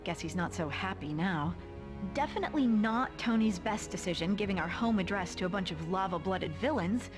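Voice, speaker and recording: A woman's voice: medium pitch, animated, normal speed, lightly acted. A woman playing a superhero's sardonic girlfriend, narrating the adventure in a scripted style with wry, playful asides. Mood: wry, breezy. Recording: music behind the voice.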